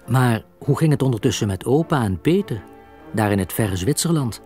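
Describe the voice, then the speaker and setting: A man tells a scripted story for children. His medium-pitched voice moves expressively up and down, with faint music behind it. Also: expressive, moderate pace, darkish tone. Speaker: narrator.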